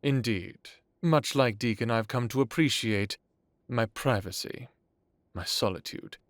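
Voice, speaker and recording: Audio drama role: age-old aristocratic male vampire acted by a man, unhurried, genteel, calmly commanding, close dry sound. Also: mid-range voice, dry recording, lightly acted. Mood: gracious. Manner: formal, measured, genteel. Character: ancient, courtly male vampire lord, calmly authoritative.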